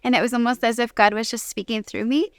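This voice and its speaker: A woman's voice: a little high, steady tone, fast talker, smooth, dry recording, studio quality. A woman talking casually as an interview guest, sharing personal experience as a mother. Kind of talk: casual conversational testimony.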